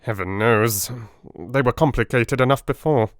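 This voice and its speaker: A man playing a stolid Victorian police inspector in an audiobook, deliberate and matter-of-fact as he reports. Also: mid-range voice, no echo, studio quality, lightly acted. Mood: perplexed.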